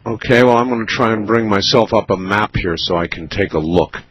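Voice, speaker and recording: A man hosting a radio call-in show, speaking in a slow, deliberate, measured way with pauses. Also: lowish voice, a bit bright, muffled recording, a little hiss or hum. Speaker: radio talk show host. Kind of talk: late-night radio call-in interview.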